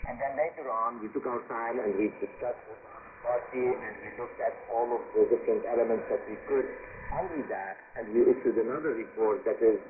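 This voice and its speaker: A man answering interview questions as a spokesman, halting and hesitant with frequent uhs. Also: medium pitch, fairly even intonation, narrow, tinny audio. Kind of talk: live radio interview answers. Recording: noisy thin shortwave radio reception.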